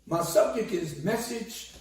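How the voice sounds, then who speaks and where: A hoarse man, a minister, giving a slow and solemn sermon with a recited prayer. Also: high-pitched, expressive. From United States. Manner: slow, measured, solemn delivery. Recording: very echoey, distant from the mic.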